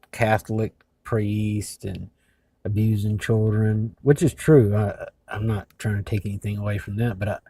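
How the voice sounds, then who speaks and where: A man hosting a video in casual narration, speaking haltingly with labored speech after mouth surgery. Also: on the low side, warm tone, breathy edge, no room sound. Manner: halting, hesitant. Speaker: video host.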